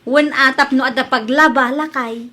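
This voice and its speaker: A woman's voice: high voice, fairly even intonation, normal speed, pushed and bright. A woman with an Ilocano accent playing a chatty, eager housemaid and wife in a comedy audio drama. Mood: matter-of-fact, nagging.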